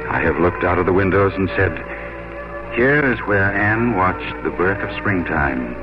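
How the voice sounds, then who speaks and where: Deep, ardent naval captain. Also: male voice, animated, a little slow. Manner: formal, romantic, intense. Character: ardent, gallant English naval captain in love. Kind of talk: 1950s radio romantic drama acting. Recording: dull old radio, music behind.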